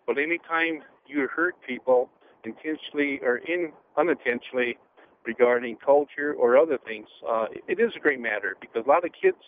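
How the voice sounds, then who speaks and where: Man calling in to radio, slow careful talk, tinny phone line with some hiss. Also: mid-range voice. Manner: measured, with many pauses and fillers. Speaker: radio show caller. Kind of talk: call-in radio comment. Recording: phone line with faint noise.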